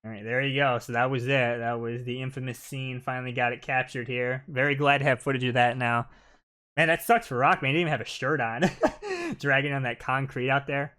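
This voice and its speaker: A man's voice: medium pitch, fairly quick, bright, forceful tone. A man giving casual, jokey live commentary as the host of a gaming video.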